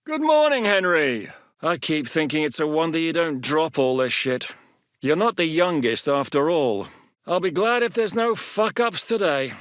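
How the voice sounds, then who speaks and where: An actor playing a crude, earthy middle-aged warlord in a video game, blunt and coarse and swearing freely. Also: male voice, a little high, fairly bright tone, no echo, clean studio sound, full character voice. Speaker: game character voice. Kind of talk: recorded video game voice lines.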